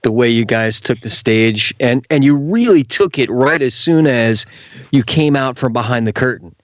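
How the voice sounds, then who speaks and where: A man's voice: mid-range voice, animated, normal speed, lo-fi sound. A man hosting a casual radio interview, speaking emphatically as he stresses his points. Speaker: radio host.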